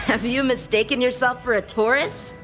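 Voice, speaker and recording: A woman's voice: a little high, steady tone, normal speed, a bit bright, faint music behind, close to an everyday voice. A woman playing a brash, impatient, cheeky boy in a video game, with a blunt, casual way of talking.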